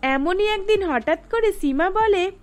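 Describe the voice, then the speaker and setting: Brief: woman narrator, very high voice, even scripted storybook reading. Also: measured pace, faint music behind.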